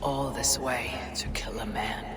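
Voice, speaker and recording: An actress playing a guarded, brooding Viking warrior woman in a video game, with a husky, breathy voice. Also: extremely low pitch, fairly even intonation, a little slow, loud music behind the voice. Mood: brooding, hushed. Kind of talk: video game voice acting, dramatic inner narration. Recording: noisy water and outdoor ambience.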